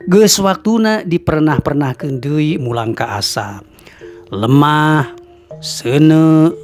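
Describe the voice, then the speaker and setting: A male storyteller narrating a serialized folktale, who greets his listeners formally and tells the story in a slow, unhurried way. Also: a little high. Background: faint music.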